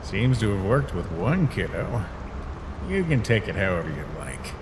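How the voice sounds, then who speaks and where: A man's voice: deep voice, big pitch swings, normal speed, noisy background. A man voicing a laid-back, guarded skeleton big brother in a fan comic dub, with a casual drawl. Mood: gently teasing.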